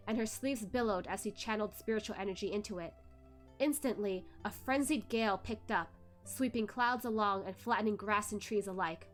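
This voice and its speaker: Female narrator, mid-pitched, dramatic fantasy web-novel read-aloud with soft music underneath.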